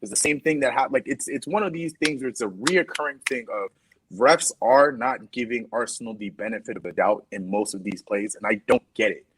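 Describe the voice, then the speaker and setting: A man's voice: mid-range voice, fairly quick, background noise. A man giving an exasperated rant about refereeing as a panelist on a football chat show, often repeating himself. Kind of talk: casual heated football chat.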